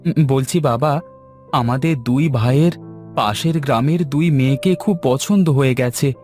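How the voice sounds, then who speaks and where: A man's voice: medium pitch, measured pace, darkish tone, faint music behind, pitched above the performer's own voice, lightly acted. A man voicing a smitten young village son in a cartoon fairy tale, earnest and eager. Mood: earnest, relieved.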